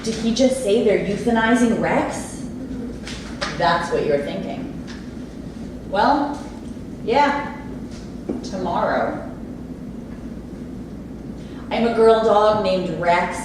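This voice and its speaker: A woman playing a chatty, deadpan female pit bull in a staged play reading. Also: mid-range voice, slow pace, pauses often. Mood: wry, confiding. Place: theatre space, recorded from audience distance. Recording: echoey, distant, noisy room recording.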